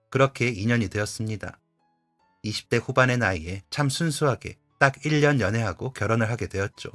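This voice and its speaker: Low-voiced man narrating a story. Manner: calm, confiding storytelling. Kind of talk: scripted first-person story narration.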